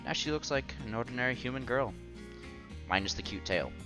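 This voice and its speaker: A man's voice: mid-range voice, expressive, brisk, lightly acted. A man playing an earnest, bashful young college student who narrates his own romance in a visual novel. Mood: admiring. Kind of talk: visual novel read-aloud. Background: music under the voice.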